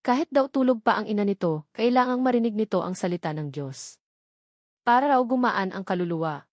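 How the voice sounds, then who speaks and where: Woman narrator, measured and dramatic, mid-range pitch, dry close-mic sound. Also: expressive, no room sound.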